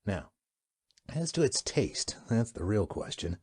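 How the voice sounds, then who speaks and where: A man playing a gruff spaceship captain who gives curt orders. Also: lowish voice, big pitch swings, slow pace, a little mellow, full character voice. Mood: brusque. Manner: brusque, commanding.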